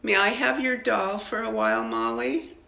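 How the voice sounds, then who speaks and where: An older woman voicing a firm, kindly female schoolteacher from a children's storybook, in an emphatic, measured way. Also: medium pitch, big pitch swings, vocal fry, bright, forceful tone, reverberant, pitched above the performer's own voice, exaggerated voice.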